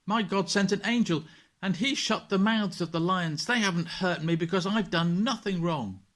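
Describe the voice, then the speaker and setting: High-pitched faithful Daniel, as a man reads him. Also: moderate pace, fairly bright tone. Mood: joyful, reverent. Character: Daniel, faithful, calm, innocent man of God. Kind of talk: children's Bible storybook reading.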